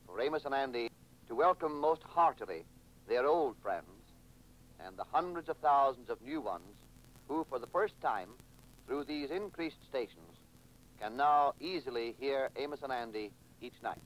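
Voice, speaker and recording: Formal vintage radio announcer guy, medium pitch, slow careful phrasing. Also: rough recording. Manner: stately, deliberate phrasing.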